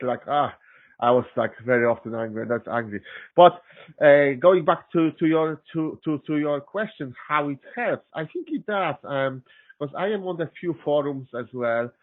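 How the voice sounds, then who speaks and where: Man with a Polish accent on a tinny line. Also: medium pitch. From Poland. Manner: halting, many restarts and fillers, laughs often. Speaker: guest systems engineer. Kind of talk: casual interview chat.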